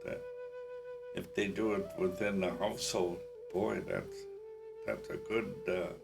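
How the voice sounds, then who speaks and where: Old lady, really low gravelly voice, slow, music behind. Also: steady tone. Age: older.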